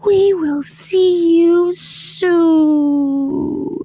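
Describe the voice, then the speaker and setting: A man's voice: fairly even intonation, drawn-out words, warm tone, exaggerated voice. A man voicing a possessed, sinister neighbour woman in a horror radio drama, with a scratchy, strangled voice and an eerily sweet, coaxing delivery. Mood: menacing. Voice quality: high-pitched, scratchy, strangled.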